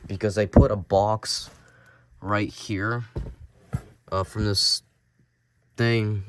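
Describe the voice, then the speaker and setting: A young man with a cold talks to the camera in a very low, raspy voice, coughing now and then. He rambles, repeats himself and restarts his sentences as he points things out in his basement. The sound comes from a handheld camera down in the basement. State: sick, coughing. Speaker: vlogger. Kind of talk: casual home vlog tour. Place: home basement, indoors.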